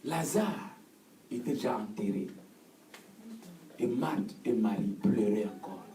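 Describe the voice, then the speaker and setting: A man preaching with call and response in a church hall, fervent and repetitive, often shouting. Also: mid-range voice, animated, slow pace. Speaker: pastor. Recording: echoey hall, distant mic, congregation noise. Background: congregation answering.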